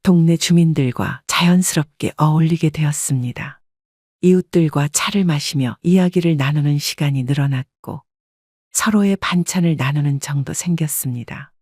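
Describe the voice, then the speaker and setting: A woman calmly narrating a first-person life story. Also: low-pitched, normal speed, breathy edge, dry recording, studio quality.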